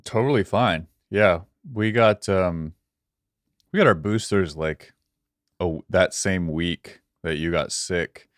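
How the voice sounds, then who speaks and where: A man who produces a podcast talks with a very deep, smooth voice. He chats in an easygoing way and uses a lot of fillers. The recording is close and dry. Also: takes it slowly, no room sound. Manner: easygoing, filler-heavy talk. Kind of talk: casual chat.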